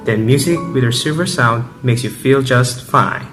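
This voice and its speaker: A man's voice: medium pitch, normal speed, lightly acted. A man playing a brash, teasing, quarrelsome servant in an amateur student radio drama. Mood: taunting. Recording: music playing behind the voice.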